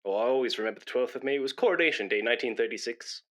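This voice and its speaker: A man's voice: mid-range voice, lively intonation, rapid-fire, fairly bright tone, lightly acted. An actor playing a pompous, patriotic, easily indignant man in his thirties in a rambling radio comedy. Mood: boastful, rambling. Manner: pompous, rambling, self-important.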